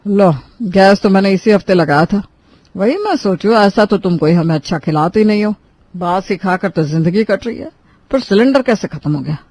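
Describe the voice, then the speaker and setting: A woman's voice: medium pitch, expressive, normal speed, darkish tone, dry recording, natural voice. A woman voicing an exasperated, sarcastic husband who grumbles, in a cartoon moral story. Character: exasperated, nagging husband fed up with stale food.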